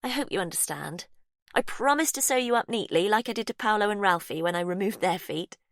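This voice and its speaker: A woman reading an audiobook, voicing an unhinged, childlike captor who speaks in a high, breathy, sweetly menacing sing-song. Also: lively intonation, a little fast, a bit bright, dry recording, studio quality. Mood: creepy sweet.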